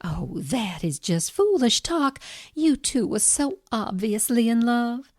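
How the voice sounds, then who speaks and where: An actress playing a gracious, sympathetic woman in an audio drama, with a gentle, concerned manner. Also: a little high, sing-song, takes it slowly, no room sound, studio quality, heavily acted. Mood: concerned, consoling.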